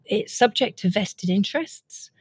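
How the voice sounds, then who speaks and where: A woman's voice: medium pitch, animated, unhurried, husky, no room sound. A woman, speaking as an author being interviewed, explaining ideas in an earnest, articulate way. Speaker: author and guest.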